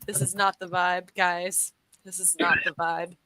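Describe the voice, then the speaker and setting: A woman co-hosting a casual live podcast who laughs often and talks in an animated way as she reads chat messages aloud. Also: lowish voice, unhurried, noisy background. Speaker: host's daughter, co-host.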